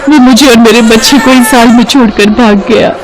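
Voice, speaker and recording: A woman's voice: a little high, fairly even intonation, moderate pace, over music, close to an everyday voice, rough recording. Woman acting an emotional, wronged young wife. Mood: sorrowful. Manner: emotional, pleading. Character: wronged young wife and mother, tearful, headstrong. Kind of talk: melodramatic Hindi cartoon story acting.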